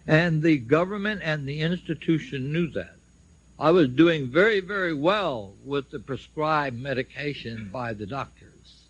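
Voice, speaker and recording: A man's voice: fairly high pitch, a little slow, husky. An older man giving a public speech, telling his own story in emphatic, short declarative statements. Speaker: speaker at a symposium, recounting his own legal case. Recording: dull lo-fi shortwave radio recording.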